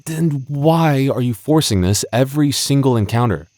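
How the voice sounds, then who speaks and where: A man's voice: mid-range voice, expressive, moderate pace, mellow, dry recording, clean studio sound, close to an everyday voice. A man acting an exasperated young male game master and childhood friend in an audio roleplay, with a hesitant manner. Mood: frustrated, confronting.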